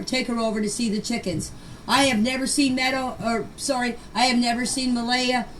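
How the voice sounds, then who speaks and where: An older woman giving an unscripted personal account in voice messages, speaking emphatically and defensively and repeating phrases to press her point. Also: on the high side. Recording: noisy amateur voice-message clips.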